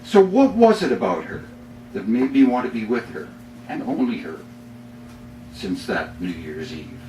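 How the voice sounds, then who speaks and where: Middle-aged Canadian male poet, deliberate dramatic phrasing, echoey room, faint background noise. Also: lowish voice, very animated, dark tone. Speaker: poet reading own work. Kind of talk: live poetry reading. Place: bookstore reading before a small audience. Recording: roomy, distant mic, faint noise.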